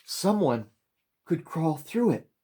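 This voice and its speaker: Man putting on a breathless, higher-pitched eager young boy. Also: big pitch swings, slow pace, dark tone, husky, heavily acted. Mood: urgent, excited. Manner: breathless, bursting out in spurts. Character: eager, restless, curious young boy in a storybook.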